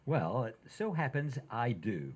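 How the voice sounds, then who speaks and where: A woman's voice: very deep voice, big pitch swings, a little slow, darkish tone, exaggerated voice. A woman playing an oblivious, teasing husband in an old-time radio comedy, with a dry delivery.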